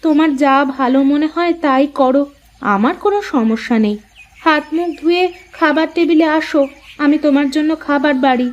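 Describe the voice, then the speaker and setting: A woman's voice: sits high, normal speed, lo-fi sound, natural voice. A woman voicing a practical cartoon housewife who is caring but chiding and questioning. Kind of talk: Bengali cartoon voice acting.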